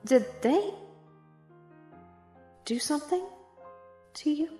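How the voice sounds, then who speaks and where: A woman playing a concerned, curious girl student in an audio drama, probing and expressive. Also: high-pitched, takes it slowly. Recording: echoey room with faint music.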